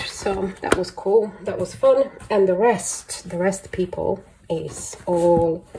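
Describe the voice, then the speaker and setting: A woman's voice: lowish voice, vocal fry, darkish tone, a little hiss or hum, rough recording. A woman with a congested voice chatting casually through a show-and-tell hobby vlog, rambling and a little hesitant. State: congested from flu. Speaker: colouring hobby vlogger.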